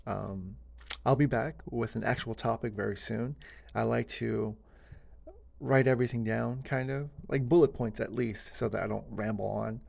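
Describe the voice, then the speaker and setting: Man with heavy vocal fry. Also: medium pitch, steady tone, normal speed, a little mellow. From United States (born in Korea). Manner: casual and earnest, many fillers like "uh" and "you know". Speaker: podcast host. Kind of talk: solo podcast monologue.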